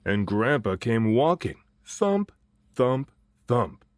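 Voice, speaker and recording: A man reading a children's picture book aloud in an expressive way, drawing out phrases for dramatic emphasis. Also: mid-range voice, slow, no room sound, studio quality. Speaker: storybook reader and voice actor.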